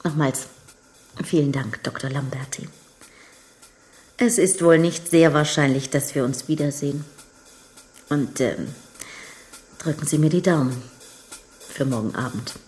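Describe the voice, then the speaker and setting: An actress playing a frank, cheeky young bride-to-be in a radio crime drama, telling things candidly and languidly. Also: female voice, sits low, a little slow, long pauses, a little mellow. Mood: polite farewell.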